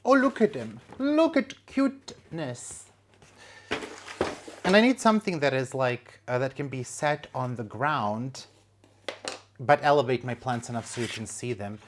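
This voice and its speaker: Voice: mid-range voice, lively intonation, measured pace, noisy background. A plant vlogger talking casually at home, joking at their own expense and cooing over new plants. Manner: chatty, self-mocking, coos at plants. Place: home room, indoors.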